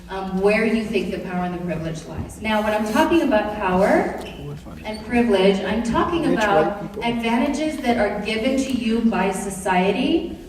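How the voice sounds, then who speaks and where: A middle-aged woman from Kenya giving a lively classroom presentation to high school students, joking and asking the audience questions. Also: a little hiss or hum. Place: large room or hall. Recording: echoey hall, voice from far off.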